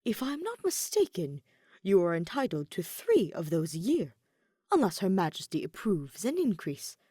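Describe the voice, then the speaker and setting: A woman's voice: medium pitch, huge pitch swings, moderate pace, warm tone, slightly rough, dry recording. A woman voicing a sardonic, guarded male mage and spymaster with dry, formal delivery in a fan fiction audiobook. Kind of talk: audiobook-style fan fiction character reading.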